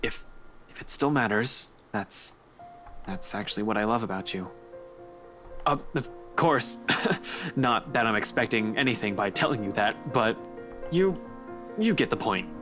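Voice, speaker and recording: A man's voice: lowish voice, expressive, a little slow, bright, forceful tone, faint music behind. A man playing an easygoing, lovestruck young man in a visual novel, talking casually with some hesitation. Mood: tender, awkward.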